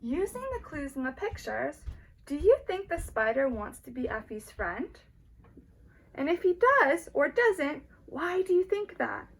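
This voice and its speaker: A woman teacher reads a story to young children in a high, very animated voice. She takes her time and speaks expressively, addressing the listeners directly. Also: a little slow, gravelly, rough recording. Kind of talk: read-aloud children's story with questions.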